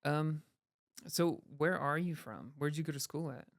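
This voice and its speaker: A woman's voice: low-pitched, measured pace, no room sound, studio quality. A woman hosting a podcast interview, chatty and fond of saying yeah and like. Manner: chatty, says yeah and like a lot. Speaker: podcast host. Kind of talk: casual interview chat.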